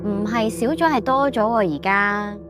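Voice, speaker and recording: A woman playing an excitable, stubborn young girl cousin in a ghost story, with an animated, insistent delivery. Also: mid-range voice, moderate pace, over music, lightly acted. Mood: puzzled, insistent. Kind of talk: Cantonese ghost-story dramatization.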